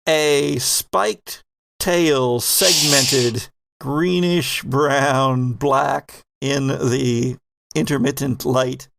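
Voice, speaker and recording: Creaky-voiced man running a horror game. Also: medium pitch, steady tone, extremely slow, very noisy. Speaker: game master and host. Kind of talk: narrating a horror tabletop roleplaying game.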